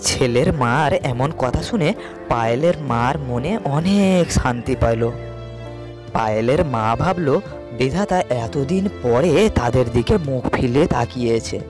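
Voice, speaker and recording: A man's voice: mid-range voice, expressive, unhurried. A man narrating a scripted cartoon moral story as its storyteller. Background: faint music.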